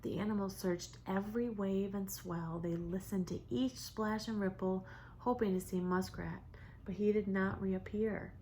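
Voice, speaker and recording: Expressive woman reading a children's story, noisy background. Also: medium pitch. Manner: dramatic, with drawn-out words and hushed whispers. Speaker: storybook reader for preschoolers.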